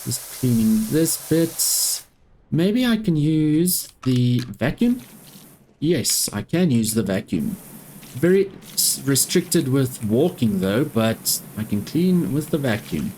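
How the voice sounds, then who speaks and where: Man, Afrikaans speaker, unhurried, mid pitch, casual game commentary. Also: slight background noise. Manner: relaxed, talking to himself while playing. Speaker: gaming YouTuber.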